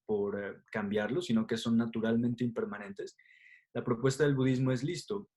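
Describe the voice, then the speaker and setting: A man's voice: medium pitch, a little mellow. A man with a Mexican accent answering interview questions as a Buddhist teacher, explaining didactically with rhetorical questions and tags.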